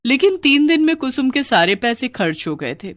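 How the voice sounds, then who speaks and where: A woman's voice: sits high, big pitch swings, normal speed, fairly bright tone, slight background noise. A woman reading a scripted moral story as the narrator.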